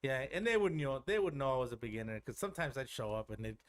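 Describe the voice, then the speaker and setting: An easygoing man in his thirties talks as a guest on a casual podcast. He speaks at an ordinary pitch and keeps dropping in "like". He laughs easily as he talks. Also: lively intonation, fast. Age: 30s. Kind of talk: casual chat.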